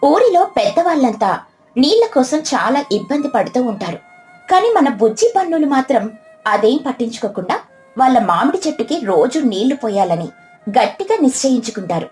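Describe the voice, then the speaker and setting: Higher-voiced woman narrating a children's story. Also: big pitch swings, fairly quick, darkish tone, soft background music.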